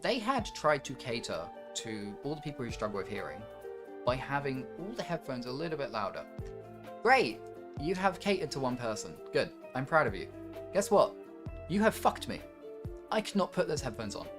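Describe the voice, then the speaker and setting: A man speaks at an average pitch in a casual, opinionated discussion, with music clearly playing behind him. He is emphatic and argues his points in an animated, sweary way. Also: normal speed.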